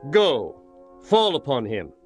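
A man's voice: mid-range voice, huge pitch swings, slow pace, soft background music. An actor playing a stern, commanding young king in a dramatized scripture reading, with solemn, declamatory delivery and archaic formal phrasing.

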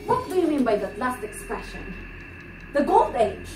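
A woman acting a haughty, high-pitched killer. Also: huge pitch swings, moderate pace, noisy background. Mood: disdainful. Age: young adult. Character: proud, defensive killer arguing coolly and precisely. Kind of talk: theatrical one-act stage play acting. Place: furnished indoor stage set, distant camera. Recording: echoey room, distant mic. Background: music.

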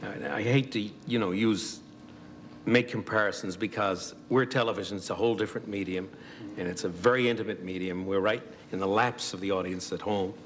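A man's voice: fairly low pitch, steady tone, brisk, fairly bright tone. A man, a guest actor, talking in an easygoing, reflective way on a talk show.

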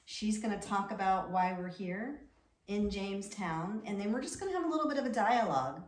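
A woman's voice: mid-range voice, expressive, brisk. A woman hosting a podcast, chatting in an upbeat, loose way. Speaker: podcast host. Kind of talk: casual podcast chat. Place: dining room in a home, at a large table. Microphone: far room camera. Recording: roomy and distant, with noise.

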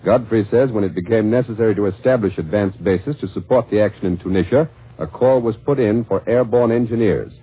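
An American man reads a scripted newscast as a radio anchor. His voice is on the low side with a husky grain. The sound is a tinny, narrow 1940s broadcast recording. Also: a little fast.

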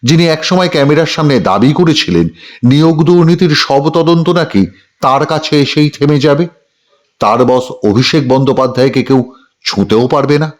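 A man's voice: on the high side, a little fast. A man reading a scripted news report as narrator, with a dramatic, emphatic delivery.

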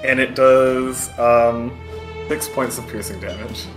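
A man running a tabletop game as game master, improvising narration in a halting, hesitant way. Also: medium pitch, fairly even intonation, echoey room, soft background music.

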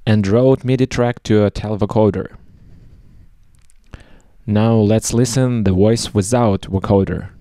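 A man presenting a step-by-step software tutorial over a screen recording. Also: lowish voice, fairly even intonation, unhurried, pauses often, no echo, clean studio sound.

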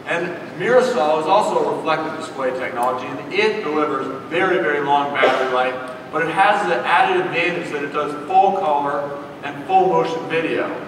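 A man gives a polished, promotional keynote on a big conference stage. His voice sits a little high. The sound is distant and boomy, with a steady hiss under the long hall echo. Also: brisk. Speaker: keynote presenter. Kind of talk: corporate keynote presentation. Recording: echoey hall from far off with noise.

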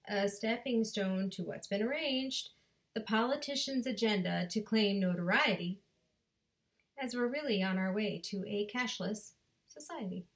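A woman in her thirties recites her own spoken word poem into a webcam in a home bedroom. She takes her time, with a slow, rhythmic pace and deliberate pauses, and her voice sits slightly high for a woman. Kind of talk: spoken word poetry performance. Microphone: webcam at desk distance.

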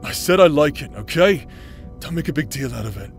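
A man's voice: a little high, lively intonation, a little fast, gravelly. Man acting a teasing, protective adventurer over music. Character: cocky, battle-worn male adventurer, protective and teasing. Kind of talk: audio roleplay acting.

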